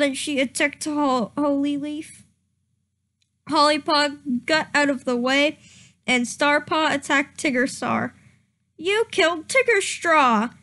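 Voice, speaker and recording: Young woman streamer, high pitched and unhurried, doing a giggly mock-dramatic reading that trips over words. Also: fairly bright tone.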